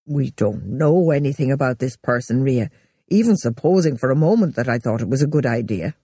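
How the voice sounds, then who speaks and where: A woman's voice: rumbling low, animated, fairly quick, mellow, breathy edge, no echo, clean studio sound, voice pushed down, exaggerated voice. A woman voicing an exasperated, defensive middle-aged man who sneers and blusters. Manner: sneering, indignant.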